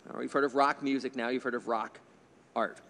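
Joking middle-aged quiz host, reverberant. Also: male voice, medium pitch, steady tone, fairly quick, gravelly, a bit bright. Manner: chatty, jokey asides, chuckles at his own remarks. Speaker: quiz bowl moderator. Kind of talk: reading quiz questions with light banter. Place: indoor TV studio stage. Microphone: desk microphone on a stand.

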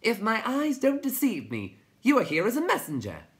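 Woman doing a chirpy, high, dapper tiny man. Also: huge pitch swings, moderate pace. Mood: courteous. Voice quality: chirpy, twittering. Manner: courteous, formal. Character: tiny dapper male creature, polite and formal.

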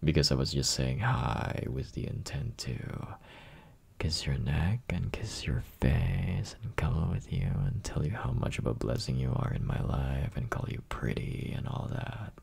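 Man acting a very deep, raspy clingy boyfriend. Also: big pitch swings, unhurried, a little mellow, exaggerated voice. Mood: affectionate, teasing. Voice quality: raspy, breathy, gravelly. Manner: murmuring, often dropping into whispers. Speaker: roleplay audio performer. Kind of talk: intimate ASMR boyfriend roleplay.

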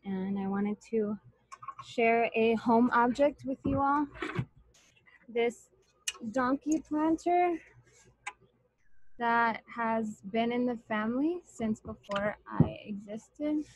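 A woman in her twenties from West Texas introducing herself on a video call, calm and unhurried. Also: fairly high pitch, no room sound, poor audio. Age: 20s. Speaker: participant. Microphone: laptop webcam on video call.

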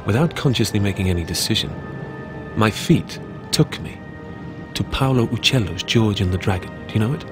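A man playing a lonely, self-mocking artist, reading his letters aloud in an introspective, measured way for an audiobook. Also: lowish voice, expressive, music in the background, close to an everyday voice. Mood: wry, brooding.

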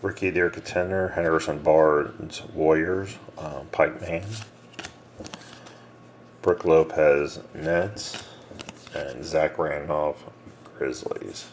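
A man breaking a box of trading cards on camera, reading out names and teams in a low mumble, casual and unhurried. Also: steady tone. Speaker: card breaker. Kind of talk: casual card-break commentary. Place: small room indoors. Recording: noisy room with card shuffling.

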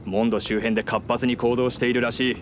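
A man's voice: medium pitch, little pitch movement, quick pace, a little hiss or hum, lightly acted. A man voicing a stern, guarded masked agent in a Japanese video game, speaking curtly and formally. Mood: suspicious. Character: stern, suspicious masked foreign agent guarding secret plans.

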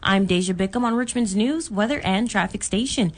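A woman's voice: mid-range voice, brisk. An American woman working as a radio news anchor, reading scripted bulletins.

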